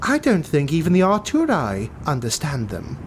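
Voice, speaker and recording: A man's voice: on the high side, huge pitch swings, moderate pace, slight background noise, pitched above the performer's own voice. A man voicing a haughty, easily offended knight who serves a lord in a fantasy audiobook, arch and indignant while still deferential.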